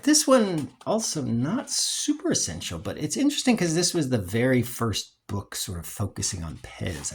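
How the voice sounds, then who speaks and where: A collector giving a casual, unscripted review in a hesitant, rambling way, often trailing off and clearing the throat, with a husky, creaky voice. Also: mid-range voice, a little mellow, a little hiss or hum. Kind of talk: casual unscripted show-and-tell.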